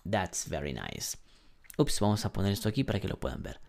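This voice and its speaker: Male online English teacher, example-heavy explanations, frequent throat clearing. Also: on the low side, wildly swooping pitch, a little fast, darkish tone. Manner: explains with many examples and rhetorical questions; clears his throat often. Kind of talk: online lesson.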